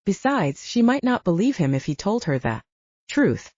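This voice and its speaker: A woman's voice: medium pitch, very animated, normal speed, a little mellow, no echo, highs cut off. A woman reading a scripted story as an audiobook narrator.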